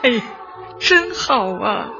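An actress in a radio drama playing a warm, devoted elderly woman community secretary who talks affectionately and emphatically. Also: a little high, very animated, very slow, gravelly, exaggerated voice. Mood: fond, reminiscing. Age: older. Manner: affectionate, emphatic, sighing interjections. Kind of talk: Chinese radio drama acting. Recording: muffled, music behind.

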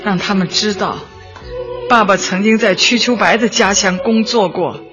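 An actress in a radio drama playing a warm, devoted elderly woman community secretary who talks affectionately and emphatically. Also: medium pitch, normal speed, lightly acted. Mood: moved, tender. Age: older. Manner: affectionate, emphatic, sighing interjections. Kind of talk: Chinese radio drama acting. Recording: muffled, music behind.

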